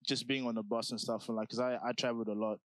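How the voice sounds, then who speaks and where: A man's voice: medium pitch, flat delivery, fast talker, no echo. A young man from South Sudan, talking about his music in a laid-back, slangy way, full of "like" and "you know". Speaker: rapper guest. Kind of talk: casual interview.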